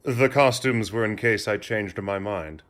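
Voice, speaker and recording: An actor playing a mysterious aristocratic count, courteous and aloof, with formal speech, in an audio drama. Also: male voice, on the low side, a bit bright. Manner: formal, measured wording.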